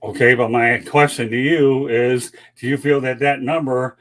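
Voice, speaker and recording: A man hosts a loose sports talk panel in a middle-pitched voice. He teases his co-hosts and plays at being the stern boss. The talk stays casual and jokey. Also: fairly even intonation, moderate pace. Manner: teasing, mock-commanding, jokey. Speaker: show host.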